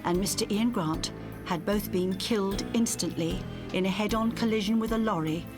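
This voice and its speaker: A woman's voice: mid-range voice, normal speed, over music. A woman reading a scripted ghost story as an audiobook narrator, in a dramatic, suspenseful storytelling manner.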